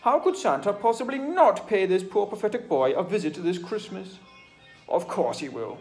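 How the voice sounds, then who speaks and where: A man voicing a posh, greedy, evil hunter in a bedtime storybook, speaking with loud, scornful glee. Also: high-pitched, lively intonation, normal speed, faint music behind, voice pushed up. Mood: gloating. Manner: gloating, scornful, loud villain speech.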